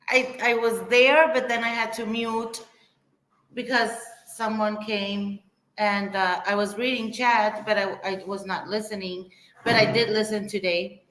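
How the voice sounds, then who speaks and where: A woman's voice: on the high side, fairly bright tone. A woman chatting casually on a livestream panel, with a sarcastic edge as she recounts things. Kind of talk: casual chat. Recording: echoey room.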